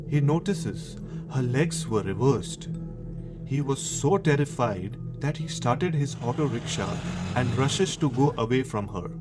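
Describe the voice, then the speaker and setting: Indian-accented male horror storyteller over music. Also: mid-range voice. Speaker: radio horror show host and storyteller. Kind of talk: dramatic horror story narration.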